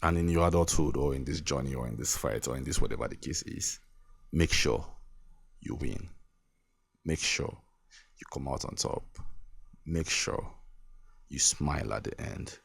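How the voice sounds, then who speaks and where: A man's voice: extremely low pitch, moderate pace, vocal fry, pauses often, husky, no echo, clean studio sound. A young Nigerian man hosting his own podcast, giving an earnest motivational talk that repeats its points and breaks into small laughs. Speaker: podcast host. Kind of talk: motivational monologue.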